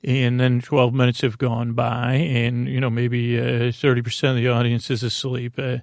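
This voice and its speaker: A man with a creaky voice hosting a sleep podcast in a lulling, friendly, meandering and halting way. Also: medium pitch, completely flat delivery, no room sound, clean studio sound. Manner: lulling, meandering, circular tangents. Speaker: podcast host. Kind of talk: rambling bedtime sleep podcast monologue.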